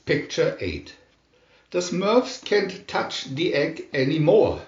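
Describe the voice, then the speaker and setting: Man, storybook narrator, higher voice, very animated intonation, expressive delivery, echoey room. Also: takes it slowly, highs cut off. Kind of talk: scripted children's story reading.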